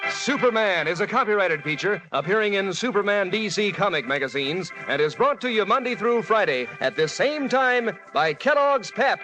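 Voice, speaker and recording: A man's voice: high-pitched, sing-song, normal speed, hardly any pauses, poor audio. A man reading sponsor announcements in punchy, emphatic 1940s radio style. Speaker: commercial announcer. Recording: old radio, loud organ music.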